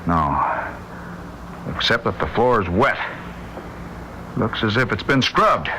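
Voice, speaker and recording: A man's voice: fairly low pitch, animated, takes it slowly, pushed and bright, heavily acted. A man playing a grave, intense scientist given to speculation, theatrical and questioning in old-time radio drama style. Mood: troubled, questioning. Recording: faintly noisy old radio recording.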